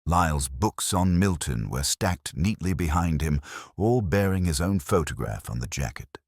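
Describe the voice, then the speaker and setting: A man playing a brooding, literate police inspector, narrating a detective audio drama in a measured, solemn, reflective way. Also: a bass voice, expressive, no room sound, clean studio sound. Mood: wry, observant.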